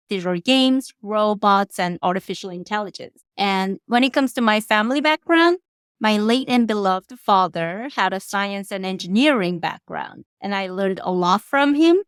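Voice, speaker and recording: A middle-aged woman from South Korea, a professor answering interview questions in measured, polished, formal phrasing. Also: medium pitch, fairly bright tone, smooth, no room sound, clean studio sound. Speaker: guest professor.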